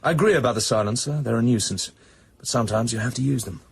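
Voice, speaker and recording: Radio drama: British spy, respectful but stubborn, rapid terse delivery, husky tone. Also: male voice, medium pitch. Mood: defensive, dry. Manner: clipped, respectful, firm. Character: proud, defensive male British secret agent.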